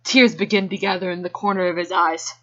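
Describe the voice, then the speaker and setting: A woman's voice: fairly low pitch, steady tone, fairly quick. A woman giving an amateur dramatic reading of fan fiction, giggly and given to casual asides. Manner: giggly, often breaks off to react. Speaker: reader. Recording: dull lo-fi home recording.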